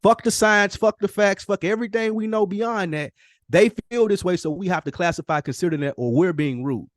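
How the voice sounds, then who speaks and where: A man's voice: high voice, a little fast, dry recording, studio quality. A man co-hosting a podcast, talking casually and emphatically in blunt, slangy, profane language. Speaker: podcast co-host. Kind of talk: casual opinionated podcast conversation.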